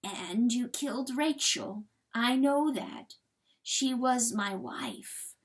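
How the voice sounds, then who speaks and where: Storybook coyote, acted by a woman: small, hurt, gentle, very unhurried, earnest near-whisper. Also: on the high side, pitched above the performer's own voice. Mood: calm, certain. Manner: soft, slow, earnest whispering. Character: small, gentle, wounded male coyote, forgiving and calm.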